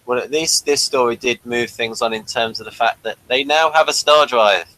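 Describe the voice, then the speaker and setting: A man's voice: medium pitch, normal speed, bright, forceful tone, no room sound, poor audio. A man co-hosting a casual review chat, often stumbling and repeating words as he starts a thought. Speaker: podcast co-host.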